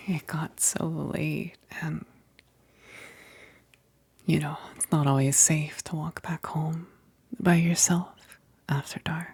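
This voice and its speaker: Husky, whispering female vampire best friend, acted by a woman. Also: low-pitched, little pitch movement, heavily acted. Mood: warm, relaxed. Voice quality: breathy, husky whisper with gravelly edges. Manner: slow, hesitant, punctuated with soft chuckles. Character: teasing, affectionate female vampire best friend, gently wistful. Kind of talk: ASMR roleplay, soft whispered intimate speech.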